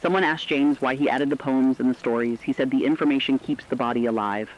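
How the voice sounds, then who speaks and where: A man's voice: mid-range voice, close to an everyday voice. Sombre man as a radio correspondent, muffled sound. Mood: sombre, earnest. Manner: deliberate, earnest, sombre. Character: earnest, sombre male radio correspondent covering a global disaster. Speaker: radio broadcast commentator. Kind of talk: scripted reflective radio commentary. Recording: dull lo-fi radio-style sound.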